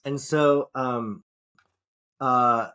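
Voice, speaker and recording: Slow, musing podcast co-host man. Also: mid-range voice, steady tone, pushed and bright. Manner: slow, searching, with frequent restarts and fillers; swears casually. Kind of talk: casual podcast conversation.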